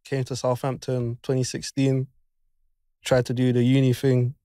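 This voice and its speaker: A man's voice: medium pitch, monotone, normal speed, mellow, no room sound. A man talks as a podcast guest, easygoing and brief, with plenty of slang. His voice sits in a middle range. The recording is dry. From West London. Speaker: podcast guest, audio engineer. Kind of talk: casual chat.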